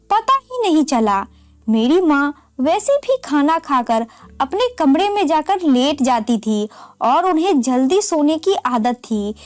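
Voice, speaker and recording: A woman's voice: high voice, no room sound. A woman narrating a scripted, emotional first-person family story in a dramatic storytelling manner.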